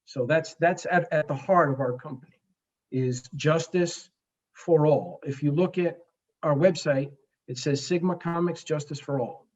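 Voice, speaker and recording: The voice is a man's in his forties, pitched a little high, on a slightly muffled, lo-fi recording. He grew up in the Bronx with Spanish as his first language. He talks like an interview guest, earnest and halting, often pausing mid-phrase to find the next word. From the Bronx, New York, United States. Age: 40s. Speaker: guest, comic book creator. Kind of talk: conversational interview.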